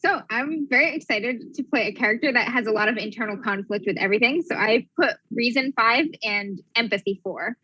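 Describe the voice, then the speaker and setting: High, bright, slightly husky woman's voice. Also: a little fast, hardly any pauses, dry recording, lo-fi sound. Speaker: player on a tabletop game show. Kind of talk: casual chat about a game character.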